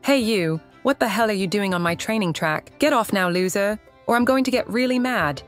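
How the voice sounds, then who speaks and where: A woman voicing an excitable, bullying wolf animatronic in a cartoon comic dub, brash but stammering when caught out. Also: medium pitch, moderate pace, soft background music, close to an everyday voice. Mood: angry, threatening. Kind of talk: comic dub character acting.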